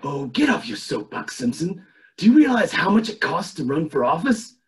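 Casting: man playing a scheming old cartoon tycoon, theatrical and drawn out, highish pitch, very animated. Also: normal speed. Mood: conspiratorial. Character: scheming, self-pitying old male nuclear plant tycoon. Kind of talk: amateur table read of a cartoon comedy.

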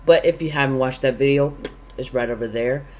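A man's voice: medium pitch, a little fast. A young man talks through his gameplay into a headset, rambling and thinking aloud with plenty of ums. His throat is sore and he has the sniffles. A faint hiss of noise sits under his voice. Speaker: gaming YouTuber. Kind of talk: casual let's-play commentary. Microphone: gaming headset.

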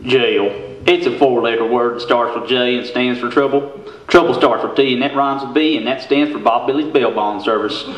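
A man playing a folksy, emphatic bail bondsman pitchman in a spoof radio commercial. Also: normal speed, lightly acted. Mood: hucksterish. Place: theater stage with stand microphone. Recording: echoey stage hall.

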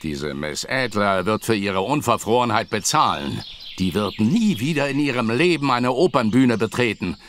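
A man's voice: fairly low pitch, animated, moderate pace, fairly bright tone, a little hiss or hum. An actor playing a cruel, middle-aged aristocratic father in a German audio drama, speaking with scornful command. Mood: contemptuous anger. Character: imperious, cruel aristocratic father, contemptuous and domineering.

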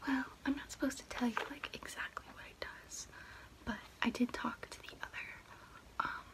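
A young woman playing a friendly water fairy in an ASMR roleplay, speaking casually in a breathy, close-miked whisper with small hesitations. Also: a little high, fairly even intonation, normal speed, lightly acted. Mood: chatty, confiding. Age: young adult. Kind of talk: ASMR roleplay, personal attention. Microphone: close mic.

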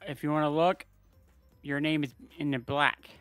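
Man, game stream host, upbeat bright voice, dry close sound, chats in bursts. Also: a little high, level tone, normal speed, no echo, faint music behind. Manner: chatty, talks to viewers and family in bursts. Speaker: livestream host running giveaways. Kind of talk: casual livestream chat.

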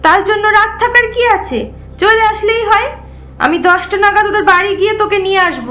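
A woman's voice: extremely high pitch, fairly quick, pushed and bright. A woman voicing a stern, scolding village mother in a cartoon story. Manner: scolding, questioning.

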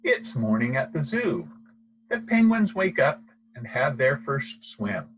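A middle-aged man reading a children's picture book aloud in a deliberate, expressive storytelling manner, recorded outdoors. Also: mid-range voice, a little hiss or hum, rough recording. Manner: deliberate, expressive delivery for young listeners. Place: outdoors, in an open wooded park.

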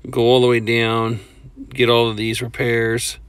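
A man's voice: medium pitch, completely flat delivery, unhurried, smooth, dry recording. A man walking an outdoor parking lot with a handheld phone, narrating a plain, matter-of-fact walkthrough and counting aloud with fillers. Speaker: paving estimator. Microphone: phone held in hand while walking.

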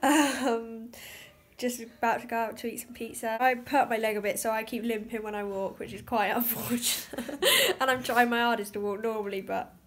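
A woman's voice: a little high, fairly even intonation, moderate pace, fairly bright tone. A teenage girl chatting through a casual travel vlog from a small hotel room, giggly and offhand, filming on a phone at arm's length. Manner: giggly, chatty, tours the room with dry remarks. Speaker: vlogger. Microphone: phone camera held at arm's length. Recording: noisy phone recording.

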